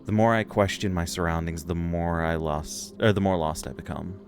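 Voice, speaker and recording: A deep-voiced man reading a wary amnesiac girl. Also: fairly even intonation, normal speed, music in the background. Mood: skeptical. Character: young amnesiac girl, uneasy, skeptical, reluctant. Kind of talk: reading visual game dialogue aloud.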